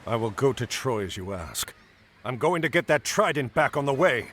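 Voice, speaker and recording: An actor playing a resolute, seasoned mythic admiral in a video game, authoritative and declamatory. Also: male voice, mid-range voice, big pitch swings, moderate pace, a bit bright, slight background noise. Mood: firm. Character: resolute, commanding Greek-myth admiral, a seasoned male hero. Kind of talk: video game cutscene acting.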